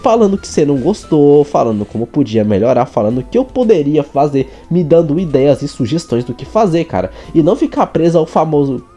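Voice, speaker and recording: A man's voice: on the high side, sing-song, brisk, few pauses, mellow, faint music behind. A young Brazilian man chatting casually to his viewers in an earnest, informal way. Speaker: vlogger and amateur writer.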